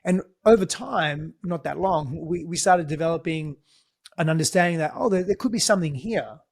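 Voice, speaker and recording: A slightly higher-pitched man with an Australian accent and Greek as his first language, talking as a podcast guest. He tells his story in an earnest, reflective way and often restarts his sentences. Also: normal speed, creaky, breathy edge. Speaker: guest, relationship coach. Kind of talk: conversational storytelling.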